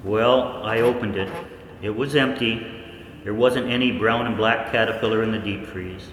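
A man playing a researcher who narrates his own experiment in a 1940s-style radio play, reflective and determined, with deliberate pauses. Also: on the low side, steady tone, a bit bright, natural voice. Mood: reflective, wondering. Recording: echoey hall, faint background noise.

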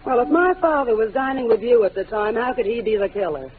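A woman's voice: fairly high pitch, lively intonation, fast talker, slight background noise, close to an everyday voice. A woman playing a cool, evasive suspect on old radio. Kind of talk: 1940s radio acting. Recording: tinny old radio broadcast.

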